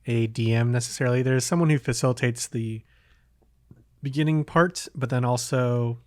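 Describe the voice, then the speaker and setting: A man's voice: mid-range voice, fairly even intonation, normal speed, no echo, clean studio sound. A man hosting a podcast in casual conversation, hesitant and meandering, often trailing off between thoughts. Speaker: podcast host. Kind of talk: casual chat.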